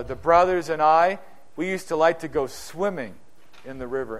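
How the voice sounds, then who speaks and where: A man from New Zealand with a New Zealand accent preaching a sermon, building to loud, rising repeated phrases and dropping low for warnings.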